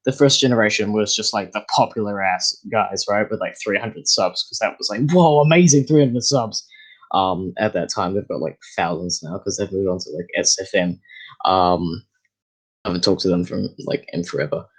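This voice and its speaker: Rambling young man, low voice. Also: moderate pace, rough recording. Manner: rambling, many fillers and restarts. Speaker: podcast guest. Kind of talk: casual chat.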